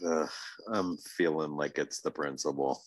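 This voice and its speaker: A man's voice: extremely low pitch, steady tone, measured pace, no room sound. A man podcast host giving casual, exclamatory reactions to a TV show, often repeating himself for emphasis.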